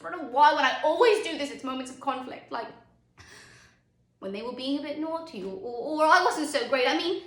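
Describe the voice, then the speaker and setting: A woman's voice: high-pitched, fairly quick, a bit bright. A woman performing a dramatic monologue as a driven, candid, haunted mother, speaking emphatically with theatrical swings in volume. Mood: pained, insistent. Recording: roomy echoing sound.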